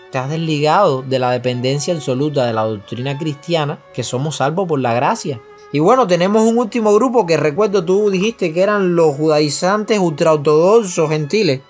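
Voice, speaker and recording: A man with a fairly high voice co-hosts a religious podcast and lays out doctrine earnestly. His delivery is steady and explanatory, and he quickens when he adds an aside. Soft music sits faintly under the talk. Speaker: podcast co-host.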